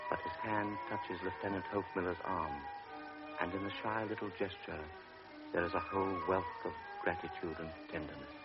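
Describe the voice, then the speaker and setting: Deep-voiced radio drama narrator. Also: male voice, fairly even intonation. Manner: measured, grave. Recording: dull old radio recording with music.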